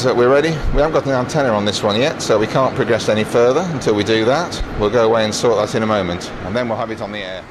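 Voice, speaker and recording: Matter-of-fact male engineer over machinery noise. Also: mid-range voice. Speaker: transmitter engineer giving a station tour. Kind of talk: casual technical walkthrough, explaining equipment while operating it. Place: indoors in a transmitter hall. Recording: clear machinery and air-cooling noise.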